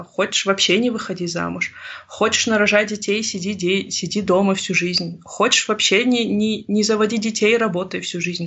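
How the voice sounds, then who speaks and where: Husky-voiced woman from Kazakhstan, earnest. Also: medium pitch, fairly bright tone. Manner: earnest, searching for words with many fillers. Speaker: interviewee. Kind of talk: reflective personal interview. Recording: slightly dull lo-fi recording.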